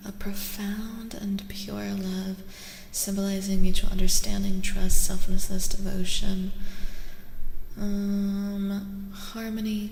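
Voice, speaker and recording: A woman streamer speaking in soft, soothing ASMR, drifting between a breathy whisper and a hushed voice, close to the microphone. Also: mid-range voice, echoey room, slight background noise, poor audio. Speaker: streamer hosting a live tarot reading.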